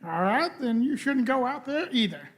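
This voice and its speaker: A rough and ready young spaceman played by a man in a live radio drama. He talks in a breezy, cheeky, colloquial way, questioning everything. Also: very high-pitched, very animated, moderate pace, fairly bright tone, heavily acted. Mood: eager, questioning. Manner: breezy, colloquial, ain't-laden speech. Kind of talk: live radio play performance.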